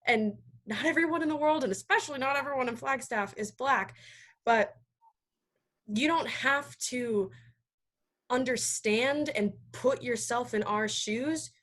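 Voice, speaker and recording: An American woman speaking earnestly and emphatically as a panelist in an impassioned discussion over a video call, halting now and then. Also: a little high, a bit bright.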